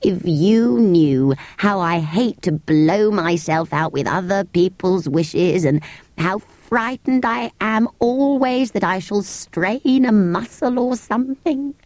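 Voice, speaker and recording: A woman voicing a grumpy, weary furry wish-granting creature in a storybook, low and strained, with a testy, put-upon manner. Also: animated, slow, a little mellow, no room sound, exaggerated voice. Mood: tearful, self-pitying. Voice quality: low, strained, tearful at times. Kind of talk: storybook character voice.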